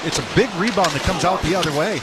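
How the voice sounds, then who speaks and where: A man working as a hockey colour commentator, giving conversational analysis in a halting way with repeated words. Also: high voice, heavy background noise. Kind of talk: conversational live sports analysis.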